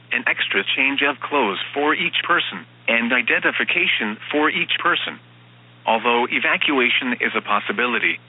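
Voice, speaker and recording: A grave emergency alert announcer acted by a man delivers a formal scripted message. The voice is rough-edged and mid-pitched, measured and impersonal. It comes through a thin, phone-like radio filter. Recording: very muffled lo-fi radio broadcast.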